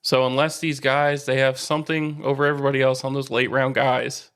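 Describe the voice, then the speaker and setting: A man's voice: medium pitch, level tone, brisk, a bit bright, smooth. An American man co-hosting a sports podcast in casual, bantering conversation.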